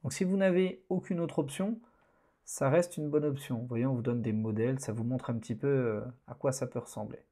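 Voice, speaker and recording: Man, course instructor, mellow voice, relaxed and halting screen walkthrough. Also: medium pitch. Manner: relaxed, hesitant, many fillers. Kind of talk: screen-recorded tutorial narration.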